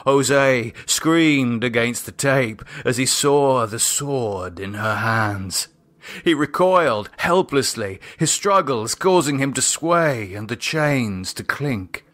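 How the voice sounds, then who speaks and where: A man narrating a horror novel in a measured, dramatic storytelling manner. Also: medium pitch, animated, fairly bright tone. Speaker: audiobook narrator.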